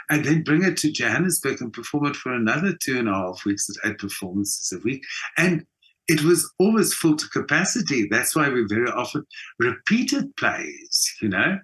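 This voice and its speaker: A man's voice: on the high side, fairly bright tone, low-quality recording. A middle-aged South African man talking conversationally as an interview guest, emphatic and full of anecdote. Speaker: guest, theatre performer.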